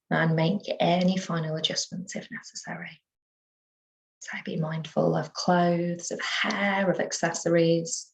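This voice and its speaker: A woman teaching yoga nidra, guiding a relaxation meditation in a soothing, unhurried manner with gentle pauses. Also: on the low side, vocal fry, rough recording.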